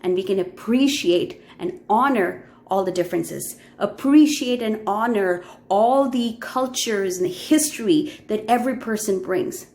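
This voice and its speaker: A woman's voice: medium pitch, unhurried, hardly any pauses, darkish tone. Earnest Indian-accented woman vlogging. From Middle East. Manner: earnest, heartfelt, with frequent fillers and restarts. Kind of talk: reflective personal vlog.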